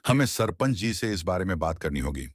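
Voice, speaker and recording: Frightened village husband, acted by a man: deep-voiced, pleading, distressed, horror story. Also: sing-song, fairly quick, husky, no room sound, clean studio sound, heavily acted. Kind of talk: dramatized story acting.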